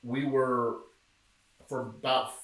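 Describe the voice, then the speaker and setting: A middle-aged man from Memphis, Tennessee, talking casually as a podcast guest and telling stories with a joking, relaxed manner. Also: medium pitch, takes it slowly. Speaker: podcast guest, film producer. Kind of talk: casual interview chat. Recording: echoey room, distant mic.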